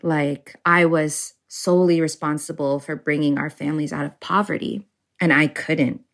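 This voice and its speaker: A woman's voice: sits low, fairly even intonation, moderate pace. A woman in her thirties from the US telling a personal family story, reflective and a bit hesitant. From United States. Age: 30s. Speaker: interviewee sharing a story.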